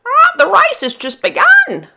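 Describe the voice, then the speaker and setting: A woman voicing an excitable female cockatoo in a children's storybook, with drawn-out squawking cries. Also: very high voice, creaky, pitched above the performer's own voice, exaggerated voice. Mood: frantic, excited.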